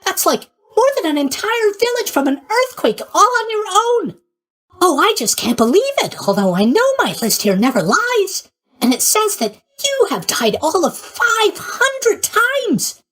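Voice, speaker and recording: A man's voice: very high-pitched, very animated, a little slow, pushed and bright, full character voice. A man voicing a boyish, sleepy god of sleep in a video game, with a bubbly, scatterbrained, casually tactless manner. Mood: amazed. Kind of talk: animated video game voice acting.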